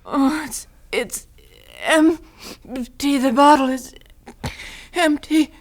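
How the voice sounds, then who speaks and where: An actress playing a drugged, dying wife, fearful and vengeful, in halting, breathy radio melodrama. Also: female voice, high-pitched, exaggerated voice. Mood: dazed, horrified. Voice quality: breathy, weakened, husky. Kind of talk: radio mystery acting.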